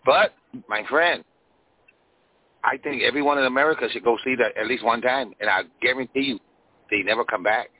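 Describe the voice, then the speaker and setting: A man's voice: medium pitch, a little fast, long pauses, a little hiss or hum, natural voice. A man putting on a Mexican accent as a boastful caller in disguise who keeps saying "my friend.". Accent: put-on Mexican. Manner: repeats "my friend", playful bragging. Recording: thin phone line.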